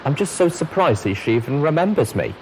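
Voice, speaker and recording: A man voicing an excitable, boastful female pony leaving a recorded message, with gushing, theatrical emphasis. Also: on the high side, brisk, slight background noise, voice pushed up, rough recording. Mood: excited, boastful. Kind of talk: recorded message in a fan fiction reading.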